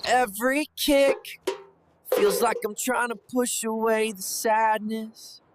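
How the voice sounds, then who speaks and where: A woman narrating as a lonely, heartbroken poor child in a cartoon story, mournful and drawn-out, with pauses between phrases. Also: a little high. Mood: mournful, longing. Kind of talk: scripted first-person story narration. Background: prominent music.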